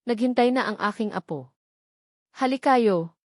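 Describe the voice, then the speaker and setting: A woman's voice: on the high side, a little slow, no room sound, clean studio sound. A woman voicing a stern, imperious elderly matriarch in a narrated romance story, speaking formally. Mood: commanding. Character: stern, cold, commanding elderly wealthy matriarch.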